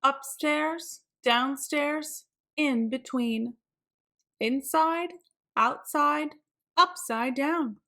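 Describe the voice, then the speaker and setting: A woman reading a children's picture book aloud in a slow, expressive, sing-song manner. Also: high voice. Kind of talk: read-aloud storytelling.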